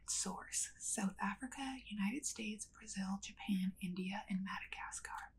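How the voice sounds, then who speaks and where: A woman doing a whispered ASMR role play as a kindly witch, speaking gently and unhurriedly straight to the listener. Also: medium pitch, a little hiss or hum, low-quality recording. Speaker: ASMR creator playing a witch.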